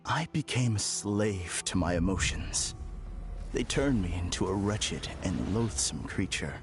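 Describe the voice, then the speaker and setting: An actor playing an unsettlingly calm, formal villain in a game cutscene, speaking in a measured, courteous way. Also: male voice, deep voice, faint music behind. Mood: serenely polite. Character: eerily serene polite man, unsettling villain. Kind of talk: video game cutscene dub.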